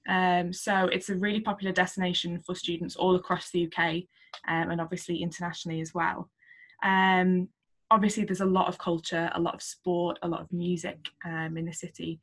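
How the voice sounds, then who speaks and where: Uma jovem com sotaque de fala de casa numa videochamada, num tom algo grave. Conversa à vontade e solta muitos "um" enquanto fala da universidade. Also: female voice, lowish voice, fairly quick. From Yorkshire, England. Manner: chatty, many ums, lively laughs. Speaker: university representative guest. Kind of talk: webinar interview. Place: at home. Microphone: video call.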